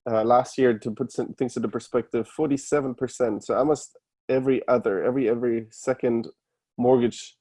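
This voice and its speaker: A man's voice: medium pitch, steady tone, brisk, mellow. A man from Germany with a German accent, a guest explaining things step by step in casual interview talk. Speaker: podcast guest, startup founder. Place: remote call.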